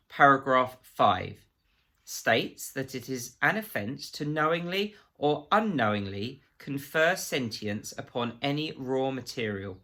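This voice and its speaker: A man's voice: mid-range voice, pushed and bright, voice pushed up, full character voice. A man playing a prissy, menacing government agent in a story, officious, pompous and precise. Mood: cold officious menace.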